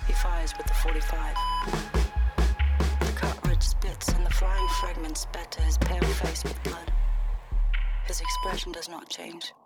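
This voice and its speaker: A man's voice: a little high, little pitch movement, unhurried, long pauses. A male actor playing a grim narrator of a gangland killing, speaking in terse, incantatory phrases in a radio drama. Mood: grim, detached. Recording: voice under very loud music. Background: very loud music.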